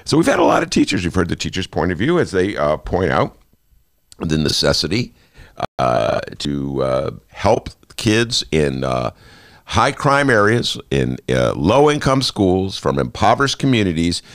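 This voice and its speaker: A man with a Chicago accent hosting a casual studio talk show, joking around and filling pauses with lots of uh's. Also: low voice, big pitch swings, no room sound. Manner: jokey, breaks into off-key singing, lots of uh fillers. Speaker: podcast host. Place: radio studio.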